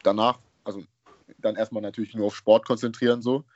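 A young man from Germany, a basketball player, chatting casually as an interview guest in relaxed slang, laughing often. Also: on the low side, fairly quick, dry recording, dull audio. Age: young adult. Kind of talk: casual chat.

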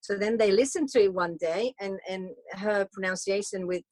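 Finland-swedish mom chatting away, restarts a lot, dry close sound. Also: female voice, mid-range voice, normal speed, a little mellow, no echo. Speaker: guest, homeschooling mother. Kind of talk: casual conversation.

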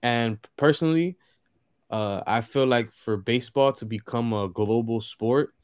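A man from the Dominican Republic speaking calmly and earnestly on a casual sports podcast. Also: mid-range voice, a little slow, clean tone, no room sound, clean studio sound. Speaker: podcast co-host.

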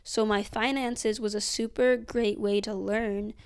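Young woman, eighteen, smooth clear voice, chatty and earnest, lots of ums and likes. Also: mid-range voice, fairly even intonation, measured pace. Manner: chatty, filler-heavy, earnest. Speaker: vlogger. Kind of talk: casual reflective monologue.

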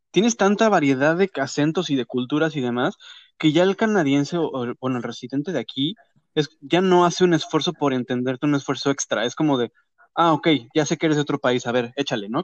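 A man with a Mexican accent hosting a casual chat and interviewing guests, slangy and talkative with quick asides. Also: mid-range voice, dry recording, lo-fi sound. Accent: Mexican Spanish. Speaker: host.

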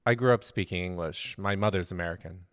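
Deep-voiced man hosting an interview. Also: fast, clear, resonant tone. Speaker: interviewer, host. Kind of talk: conversational interview.

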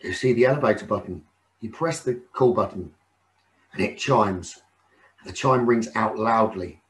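A man leading a guided meditation in a soothing, unhurried manner with frequent pauses. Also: on the low side, poor audio. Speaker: meditation teacher.

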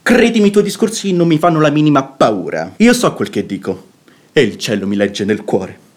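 An actor playing a sensible, outspoken brother-in-law in a classical radio comedy, declaiming with emphatic oratory. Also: male voice, fairly high pitch, big pitch swings, moderate pace. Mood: impassioned reasoning.

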